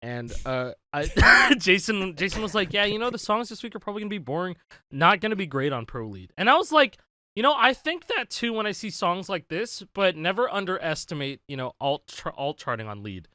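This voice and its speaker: Laid-back young man, bright voice. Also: high-pitched, sing-song, dry recording, studio quality. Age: 20s. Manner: laid-back, swears casually, quick laughs. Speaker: podcast co-host. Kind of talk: casual chat.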